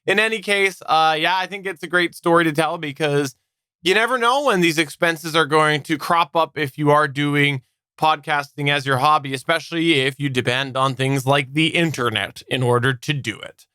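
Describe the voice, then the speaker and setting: A man's voice: on the high side, moderate pace, sharp tone, smooth, no echo, clean studio sound. A man hosting a podcast, presenting to listeners in an organized way. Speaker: podcast host.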